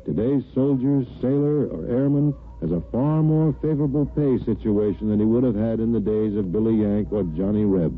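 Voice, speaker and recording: A tinny, narrow-band radio recording of an American male announcer. His voice sits fairly low as he delivers a scripted public service spot. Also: normal speed, hardly any pauses, music in the background.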